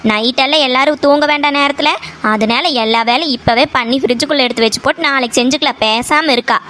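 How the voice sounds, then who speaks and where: Woman acting high-voiced, bossy village kitchen worker. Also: a bit bright. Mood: bossy, practical. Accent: Kongu Tamil. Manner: chiding, brisk orders. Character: bossy, practical village woman working at a mess. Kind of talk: village family drama acting.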